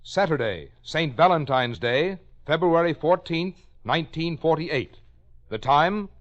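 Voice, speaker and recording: A man announcing on a 1940s radio program, reading facts in clipped, deliberate phrases on an old recording. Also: on the high side, lively intonation, highs cut off. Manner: clipped, deliberate fact-by-fact delivery.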